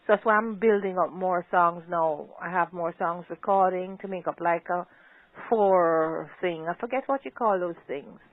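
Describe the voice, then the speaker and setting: A woman's voice: fairly low pitch, moderate pace. Chatty woman on a phone line. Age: older. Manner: talkative, laughs often, "yeah, man". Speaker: reggae singer, interview guest. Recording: tinny dry phone line.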